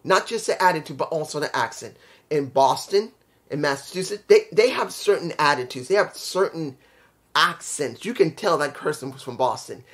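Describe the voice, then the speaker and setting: A man's voice: fairly high pitch, animated, normal speed, fairly bright tone. Blunt, rambling New York man. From New York City. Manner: rambling, blunt, repeats words, laughs at himself. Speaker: wrestling show reviewer. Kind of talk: casual solo video review.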